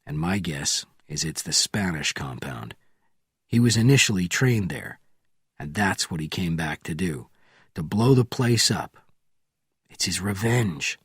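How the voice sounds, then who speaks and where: A man voicing a calm, hardened male agent who speaks low and firmly. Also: a little slow, breathy edge, no room sound, studio quality, heavily acted. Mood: calm, urgent.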